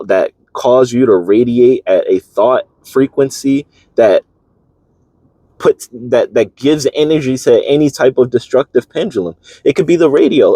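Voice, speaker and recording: A man reading and reflecting on spiritual ideas in an earnest, halting manner, often posing rhetorical questions. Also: on the low side, mellow, no room sound, low-quality recording. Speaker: reader and commentator.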